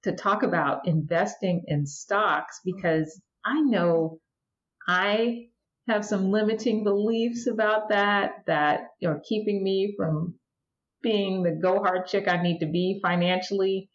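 A woman's voice: medium pitch, fairly even intonation, slow, lo-fi sound. A woman hosting a podcast, eager and encouraging toward her guest. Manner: encouraging, eager, repeats words. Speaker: podcast host.

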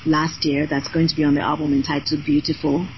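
Chatty woman, low voice, lo-fi sound. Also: fairly quick, a little hiss or hum. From Nigeria. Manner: energetic, chatty, many fillers. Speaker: podcast guest, singer and designer. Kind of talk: casual interview chat.

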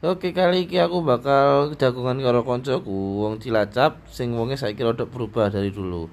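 A man's voice: mid-range voice, gravelly. A young man hosting a podcast, asking a friend casual, probing questions. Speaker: podcast host. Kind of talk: casual interview chat.

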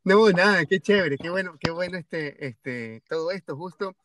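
A man's voice: sits high, animated, normal speed, a bit bright. A man from Ecuador with a Guayaquil accent, hosting a podcast in a casual conversational way, hesitant and full of fillers and restarts. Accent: Guayaquil Ecuadorian Spanish. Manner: hesitant, many fillers and restarts, tag questions. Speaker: podcast host.

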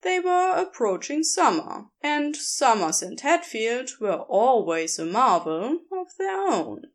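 Woman reading a story out loud, really slow, voice goes up and down a lot. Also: on the high side, very animated. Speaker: podfic narrator. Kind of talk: scripted story narration.

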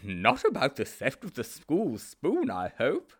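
A man playing a hearty old headmaster, jovial and chuckling, lively swoops, storybook. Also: mid-range voice, a little slow, slightly rough, pitched above the performer's own voice, full character voice. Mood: genial.

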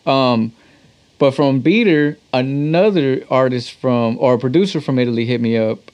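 A man's voice: mid-range voice, normal speed, darkish tone. A man from Texas with an American accent chatting casually as an interview guest, telling stories in a slangy, laid-back way with some swearing. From Texas, United States. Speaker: musician and producer, interview guest.

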